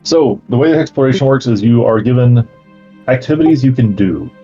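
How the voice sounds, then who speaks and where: A man acting as game master, reading out campaign lore and then explaining the travel rules in a relaxed way with many fillers. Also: mid-range voice, a little fast, husky, dull audio, faint music behind.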